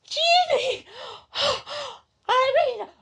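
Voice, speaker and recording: A horrified young woman in a vintage radio horror play. Her very high voice swoops wildly, with slow, drawn-out, melodramatic cries. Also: expressive, gravelly, a bit bright, heavily acted. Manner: shrieking, melodramatic. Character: frantic woman discovering her sister dead. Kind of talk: 1930s radio horror drama acting.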